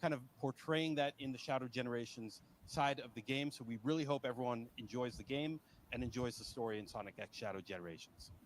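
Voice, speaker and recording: A man interpreting at a panel, explaining points in a measured conversational way. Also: mid-range voice, a little hiss or hum.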